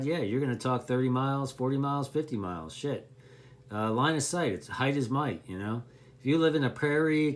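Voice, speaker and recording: A man giving unscripted, casual beginner advice as a hobbyist presenter, in a rambling, plainspoken, conversational way. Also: medium pitch. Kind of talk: unscripted casual explanation to camera.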